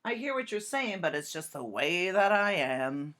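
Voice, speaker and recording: A woman's voice: on the low side, sing-song, moderate pace, a bit bright. A woman voicing a gloomy male fish who always pouts, chanting his rhymes in a sing-song storybook way. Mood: glum sing-song.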